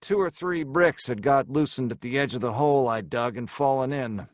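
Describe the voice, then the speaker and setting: An actor playing a hard-boiled crook with an American accent, dramatically acting and narrating in old-time radio horror style. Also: male voice, mid-range voice, normal speed, no echo, clean studio sound, close to an everyday voice. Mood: tense narration. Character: Stanley, hard-boiled male crook narrating his own doom.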